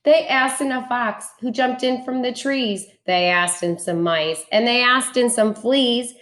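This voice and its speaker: A woman reads a children's storybook aloud in a dramatic, deliberate rhyming delivery. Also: on the high side, fairly bright tone, echoey room. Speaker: teacher reading a picture book aloud.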